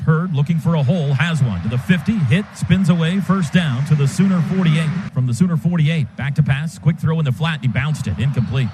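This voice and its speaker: A man calling a football game play by play on the radio, in rapid, clipped bursts of action. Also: on the high side. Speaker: play-by-play announcer. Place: stadium broadcast booth. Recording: noisy stadium radio broadcast.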